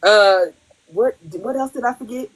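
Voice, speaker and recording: A woman's voice: on the high side, a little slow, rough recording. A woman hosting a podcast in casual chat, blunt and profane, laughing often. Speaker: podcast host.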